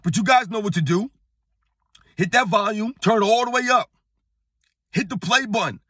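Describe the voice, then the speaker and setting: A middle-aged man hosting his own sports channel, talking straight to the viewers in a hoarse, strained voice. He talks emphatically and keeps circling back to his point. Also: high-pitched, normal speed, a bit bright, no room sound, clean studio sound. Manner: emphatic, repetitive, addresses viewers as guys. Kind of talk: casual talking-head rant. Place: on vacation at a beach.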